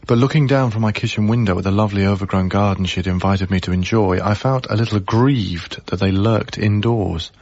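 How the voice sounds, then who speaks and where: Young lodger guy in a radio play, lowish voice, measured n wry, bit muffled. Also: darkish tone, no echo. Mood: reflective, wry. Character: reflective, wry young male lodger, observant and reserved. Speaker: actor narrating and playing the lodger. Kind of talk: radio drama first-person narration and dialogue.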